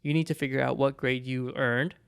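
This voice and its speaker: A young man with a Filipino accent, talking on a podcast in an easy, storytelling way. His voice has a gravelly, fry-edged texture at a middling pitch. He reflects on growing up at an unhurried pace. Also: fairly even intonation. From Philippines. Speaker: podcast co-host. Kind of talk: casual chat.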